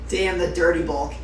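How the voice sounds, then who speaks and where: A man's voice: a little high, recorded from a distance. A young man livestreaming, chatting casually with viewers in a rambling way full of fillers. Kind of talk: casual live-stream chat. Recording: roomy echo, off-mic, faint noise.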